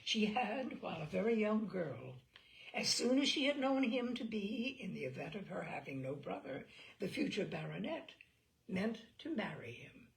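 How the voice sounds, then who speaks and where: A woman narrating a literary novel in an expressive, measured storytelling manner with deliberate pauses. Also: medium pitch, vocal fry, low-quality recording. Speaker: audiobook narrator.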